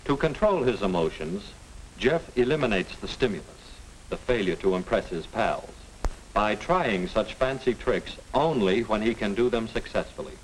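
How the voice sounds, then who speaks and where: A middle-aged American man reading scripted narration as a lecturer, didactic and deliberate. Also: lowish voice, lively intonation, rough recording. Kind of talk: scripted educational film narration. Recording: hissy 1950 film soundtrack.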